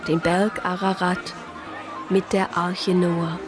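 An actress playing a reflective Protestant sister who narrates a crime story in a radio drama. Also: female voice, on the low side, level tone, takes it slowly, over music, a crowd in the background. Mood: wistful. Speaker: narrator and lead character.

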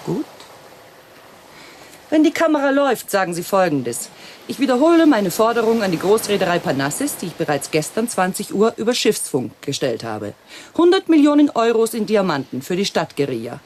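A woman's voice: medium pitch, big pitch swings, moderate pace, darkish tone, a little hiss or hum, natural voice. An actress playing a cold, greedy female villain in a radio play, giving orders with sardonic, mock-polite menace. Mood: cold, commanding.

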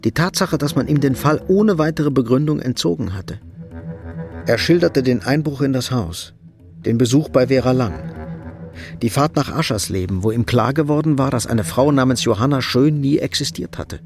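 A man's voice: mid-range voice, animated, moderate pace, a little mellow, soft background music. A man reading scripted literary narration as the narrator of a radio drama.

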